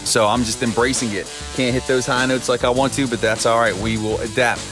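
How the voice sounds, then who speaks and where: A man hosting a solo sports podcast, talking through upcoming games in an upbeat, chatty way, his voice temporarily husky. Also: medium pitch, fairly even intonation, a little fast, over music. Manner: upbeat, chatty analysis with a cheerful sign-off. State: voice temporarily husky and lower than his norm. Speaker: podcast host.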